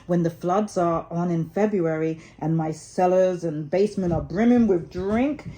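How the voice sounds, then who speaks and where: Woman reading as a chummy gentleman water rat, unhurried. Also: lowish voice, lightly acted. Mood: fond, enthusiastic. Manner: chatty, assured, old-fashioned chummy. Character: worldly, good-natured male water rat, gentlemanly riverbank animal.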